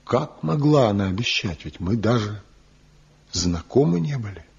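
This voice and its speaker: An actor playing a bitter, envious wandering craftsman in a theatrical radio drama, declaiming with impassioned, weighty pauses. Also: fairly low pitch, animated, vocal fry, a little mellow, husky, heavily acted. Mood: tormented confession. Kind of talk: theatrical Soviet radio play acting. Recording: muffled 1970 radio drama recording.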